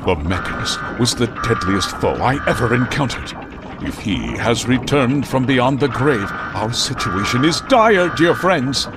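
An actor playing a pompous, melodramatic millionaire vigilante in the style of an old radio serial, with grand theatrical proclamations. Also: male voice, mid-range voice, sing-song, unhurried, creaky, loud music behind the voice. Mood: grave, urgent. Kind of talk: 1940s radio serial acting.

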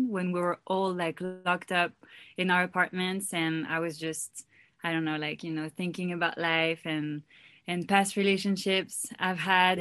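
A young woman chats loosely in an interview, filling her sentences with "like" and "you know". Her voice sits a little low for a woman. She sounds relaxed and unhurried, as a singer telling her own story. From France. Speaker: singer and songwriter guest. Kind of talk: casual interview chat.

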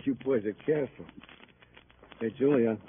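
An actor playing an uneasy, blustering fight manager in a 1950s radio crime drama, excitable and patronizing. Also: male voice, medium pitch, moderate pace, lightly acted. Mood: nervy scoffing. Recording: tinny, hissy old radio recording.

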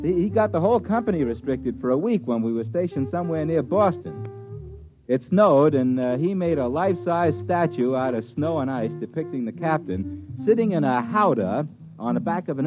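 A man's voice: medium pitch, phone-quality sound, lightly acted. A man playing a hip, laid-back American disc jockey who chats in beatnik jive in a 1950s radio drama. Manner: laid-back hipster, hesitant drawn-out chattiness. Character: hip, jazzy male disc jockey spinning a yarn. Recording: thin old radio recording, music behind. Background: music.

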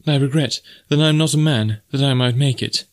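A man's voice: fairly high pitch, animated, a little fast, husky, dry recording, voice pushed up. A man voicing a proud young American woman in an adventure story, speaking formally and with emotion and wounded dignity. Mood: icy, offended.

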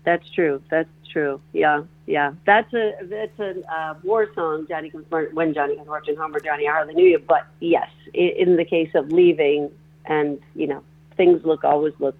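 A composer and performer from northeastern Pennsylvania, answering interview questions on the radio, talking in a halting way with many restarts. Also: medium pitch, steady tone. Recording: tinny phone line.

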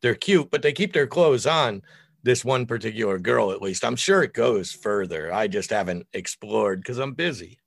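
A middle-aged American man with a deep voice, chatting casually and bluntly on a podcast as an opinionated, foul-mouthed guest. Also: lively intonation, normal speed, vocal fry, no echo. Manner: opinionated, blunt, crude joking. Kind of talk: casual, profane podcast chat.

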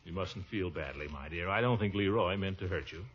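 An actor playing a pompous, big-hearted middle-aged uncle in a blustery, theatrical 1940s radio comedy style. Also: male voice, deep voice, fairly quick, heavy background noise, natural voice. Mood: soothing. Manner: blustery, theatrical, swooping delivery. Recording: muffled old radio broadcast.

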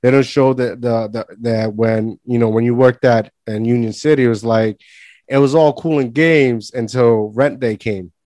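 A man's voice: mid-range voice, fairly even intonation, normal speed, a little mellow, dry recording. A man in his thirties from Puerto Rico chatting informally, repeating words as he goes. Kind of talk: casual conversation.

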